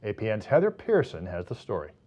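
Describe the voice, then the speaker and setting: A man's voice: on the low side, huge pitch swings, brisk, warm tone. An American man presenting scripted local news as the anchor.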